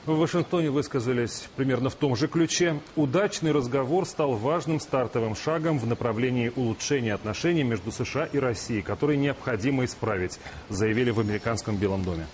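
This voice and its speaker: Male news reporter voice-over, mid-pitched, with light background noise. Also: fairly quick, a little mellow. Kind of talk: scripted news narration.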